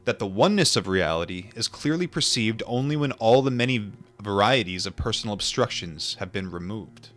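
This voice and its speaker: Guy with a lowish voice reading a book and chatting casually, kinda reflective, faint music under him. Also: fairly bright tone. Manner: musing, informal, with pauses and repetitions. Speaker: streamer reading a book aloud and chatting with viewers. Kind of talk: book read-aloud mixed with casual reflective chat.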